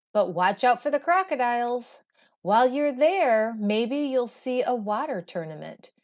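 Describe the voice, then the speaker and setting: Lady teacher doing a read-aloud for kids, takes her time, really animated pitch, kinda muffled audio. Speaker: teacher reading a textbook aloud. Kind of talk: expressive read-aloud for schoolchildren.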